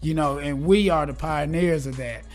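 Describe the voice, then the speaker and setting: A man in his forties, talking casually and earnestly in an interview, upbeat and a bit preachy, and quick to laugh. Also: fairly high pitch, faint music behind. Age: 40s. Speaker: guest, gospel rap artist. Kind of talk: casual conversation.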